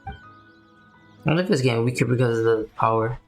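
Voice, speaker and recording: Very low-pitched woman streaming a game. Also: quick pace, soft background music. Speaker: streamer playing a story game. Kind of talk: casual game commentary, muttering reactions.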